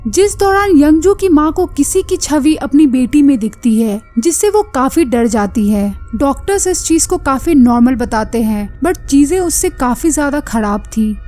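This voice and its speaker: A woman with an Indian accent narrates a scripted story recap in a high voice with a mellow, unforced tone. She works as a film explainer, slipping English words into her sentences.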